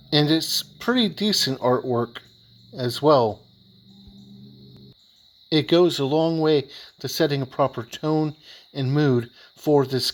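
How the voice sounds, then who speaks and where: A man giving an unscripted explanatory review, talking plainly step by step and sometimes repeating himself. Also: mid-range voice. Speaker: tabletop game reviewer.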